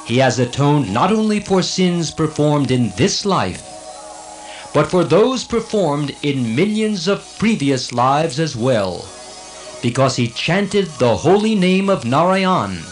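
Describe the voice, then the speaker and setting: An actor playing a righteous celestial servant of God in a dramatized scripture audiobook, arguing earnestly in a formal, declamatory way. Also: male voice, a little high.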